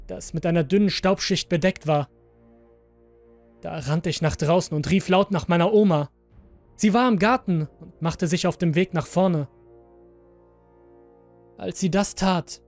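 A man's voice: a little high, gravelly, darkish tone. A man narrating a scripted first-person horror story for a podcast.

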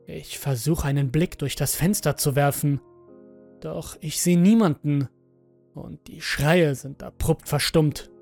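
A man narrates a first-person horror story in a deliberate, dramatic way. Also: on the high side, vocal fry, a little mellow. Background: background music.

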